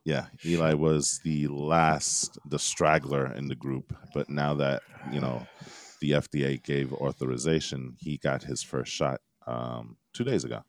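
A man's voice: rumbling low, flat delivery, measured pace, no room sound. A man in his thirties hosting a geeky podcast, rambling casually with lots of fillers. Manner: laid-back, rambling with fillers. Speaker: podcast host. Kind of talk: casual chat. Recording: dry remote recording.

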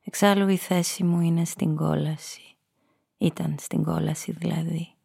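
An actress playing a cynical, seductive femme fatale, confessing her story in an intimate, breathy way in a noir radio play. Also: sits low, steady tone, mellow, dry recording, clean studio sound, exaggerated voice. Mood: bitter, confiding. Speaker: narrator and lead character.

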